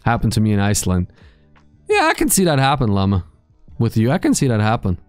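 A man in his thirties streaming from a small gaming room into a boom mic, chatting casually with his viewers, joking and swearing freely. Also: huge pitch swings, fast, no room sound, faint music behind. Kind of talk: casual chat reading live chat. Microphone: studio mic on boom arm, headphones.

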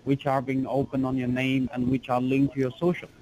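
A man posing as an officer on a phone call, talking formally and insistently with halting restarts. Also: medium pitch, monotone, dark tone, slight background noise.

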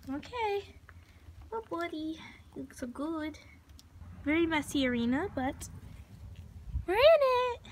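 A woman's voice: extremely high pitch, very animated, slow, long pauses. A young woman filming herself at an outdoor barn on a handheld phone, chatting to the camera and coaxing her horse with praise. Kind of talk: casual vlog narration. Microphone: phone held in hand while riding. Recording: noisy outdoor phone recording.

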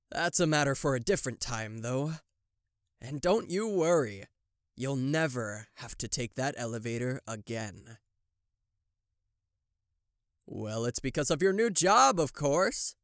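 A smug TV-headed demon executive voiced by a man purrs through an ASMR roleplay at an unhurried pace. He is slick and theatrical, with possessive intent under false politeness, in a dry, close recording. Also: mid-range voice, fairly bright tone, no room sound.

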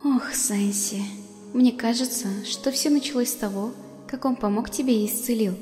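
A tender, motherly female ghost played by a woman with a mellow voice swimming in long reverb. She speaks wistfully and gently, as in a dubbed comic drama, with music underneath. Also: on the high side, normal speed, close to an everyday voice. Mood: tender, puzzled. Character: gentle motherly ghost of a dead skeleton monster. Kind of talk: acted comic dub.

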